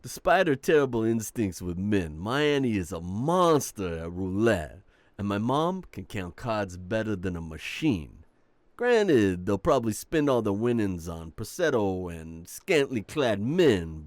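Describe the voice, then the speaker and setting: A man's voice: lowish voice, darkish tone, no echo. A man playing a charming, cocky young mafia boss in a romantic audio roleplay, teasing and playful as he talks. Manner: playful, teasing, smooth-talking with drawn-out words.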